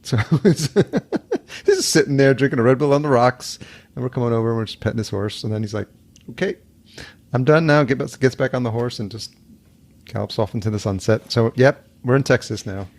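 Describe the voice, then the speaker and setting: A man's voice: medium pitch, lively intonation, a little fast, a little mellow. A man born in El Salvador, hosting a podcast interview in a loose, rambling way and laughing often. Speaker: podcast host. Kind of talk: casual interview chat. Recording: remote call audio.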